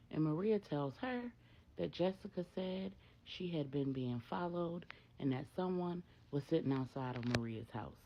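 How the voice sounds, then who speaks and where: A woman's voice: sits low, creaky, lo-fi sound, a little hiss or hum. A woman storyteller narrating a dramatic tale in the present tense.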